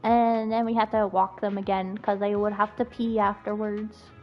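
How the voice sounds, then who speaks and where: A woman's voice: mid-range voice, flat delivery, moderate pace. A woman streaming a game with casual, chatty, reactive live commentary. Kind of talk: casual live gameplay chat. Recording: dull lo-fi mic, game music behind.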